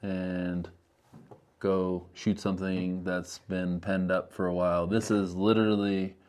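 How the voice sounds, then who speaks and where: A man's voice: sits low, level tone, a little slow, darkish tone. A man with an American accent hosting a casual podcast interview, with informal, loosely phrased talk.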